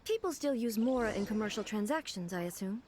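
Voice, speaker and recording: An actress voicing a composed, formal divine ruler who speaks in a calm, measured way in a game cutscene. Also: female voice, medium pitch, heavy background noise, close to an everyday voice. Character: composed divine woman ruler, regal and curious.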